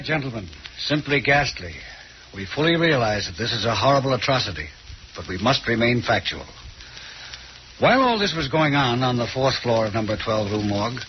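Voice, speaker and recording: An actor playing a formal, macabre storyteller, narrating an old radio horror drama deliberately. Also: male voice, mid-range voice, close to an everyday voice. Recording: muffled old radio, faint noise.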